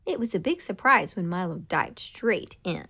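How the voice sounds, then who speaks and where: A woman reading a children's storybook aloud in an expressive, deliberate storytelling manner. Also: on the low side, slightly rough. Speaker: storybook reader.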